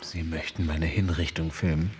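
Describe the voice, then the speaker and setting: An actor playing a wry, fussily polite condemned man in a German radio play, with a husky voice. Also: very deep voice, steady tone, moderate pace, a little mellow, a little hiss or hum. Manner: fussy, dry, polite. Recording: long hall reverb.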